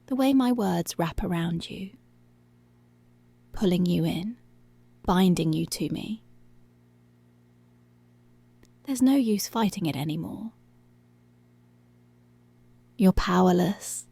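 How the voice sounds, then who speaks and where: A woman's voice: lowish voice, warm tone, no room sound, heavily acted. A woman playing a dominant, teasing policewoman in an intimate, half-whispered ASMR roleplay. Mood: seductive, possessive. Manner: slow, breathy half-whispered, possessive teasing.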